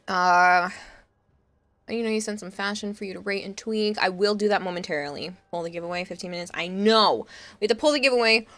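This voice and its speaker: A woman's voice: mid-range voice, fairly quick, a bit bright. A young woman from Massachusetts with a Boston accent, chatting casually to viewers on a live stream. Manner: chatty, reassuring, reading chat aloud.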